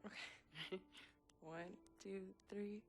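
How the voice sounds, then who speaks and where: A woman's voice: mid-range voice, fairly even intonation, drawn-out words, faint music behind. A woman playing an athlete's agreeable, slightly hesitant young girlfriend in casual video game cutscene dialogue. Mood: amused.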